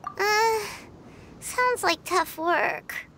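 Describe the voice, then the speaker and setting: A woman voicing a tiny, childlike fairy companion in a video game, curious and whiny, with squeaky, expressive delivery. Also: very slow, slight background noise, heavily acted. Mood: puzzled, whiny. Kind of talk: scripted game voice acting.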